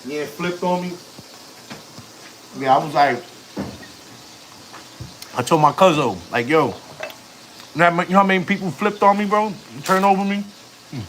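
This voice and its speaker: A man's voice: high-pitched, normal speed, long pauses, a bit bright, a little hiss or hum, rough recording. A man in his thirties, a family vlogger, chatting casually on a live stream in slang, rambling and chatty. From United States. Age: 30s.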